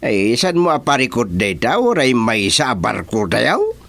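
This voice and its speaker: An actor playing a lively, bickering rural villager in a comedy radio drama. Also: medium pitch, a little slow, creaky, heavily acted. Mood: exasperated.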